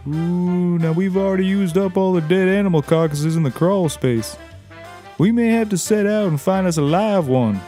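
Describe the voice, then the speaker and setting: A folksy, naive backwoods tracker acted by a man with a drawl. His voice sits high but soft-edged and mellow, and he rambles along in audio comedy style over a music bed. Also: normal speed, natural voice. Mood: eager, agreeable. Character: folksy, naive male Kentucky taxidermist and tracker. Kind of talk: scripted audio comedy acting.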